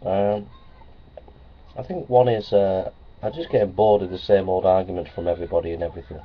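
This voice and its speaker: A man's voice: low-pitched, fairly even intonation, a little fast. A middle-aged man talking plainly into a webcam in a small office, with lots of ums and repeated phrases. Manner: plainspoken, repetitive, many ums and uhs. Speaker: vlogger. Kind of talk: casual webcam vlog. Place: small office with framed certificates on the wall. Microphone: webcam at close range. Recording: dull lo-fi webcam audio with faint hiss.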